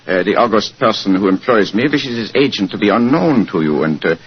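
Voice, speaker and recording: An actor playing a haughty but anxious Bohemian king in a formal, halting, weighty manner, in 1940s radio drama style. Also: lowish voice, expressive. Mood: guarded, formal. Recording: muffled, worn 1940s radio recording.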